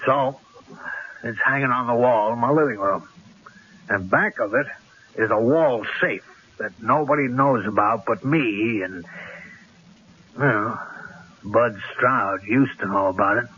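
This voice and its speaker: An actor playing a cocky, menacing gangster in a radio drama, with tough, slangy street talk and a rough edge. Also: male voice, medium pitch, measured pace, long pauses, sharp tone, slight background noise. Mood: gloating. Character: cocky, menacing gangster killer holding a couple at gunpoint. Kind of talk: 1970s radio drama acting. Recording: dull, lo-fi old radio broadcast.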